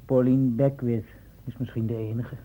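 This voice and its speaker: A man playing a dutiful junior colleague to a senior doctor in a Dutch radio play, dry, businesslike and husky. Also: mid-range voice, little pitch movement, normal speed, warm tone, close to an everyday voice. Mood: businesslike, deferential. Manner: businesslike, deferential. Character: dutiful, dry male doctor assisting his superior. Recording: thin phone-like old radio recording.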